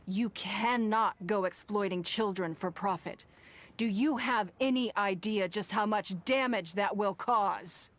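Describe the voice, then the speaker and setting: A woman playing a powerful, shrewd businesswoman in a video game, speaking in a measured, formal, reproachful way. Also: medium pitch, lightly acted. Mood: stern reproach. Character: poised, powerful businesswoman, sternly moral and shrewd.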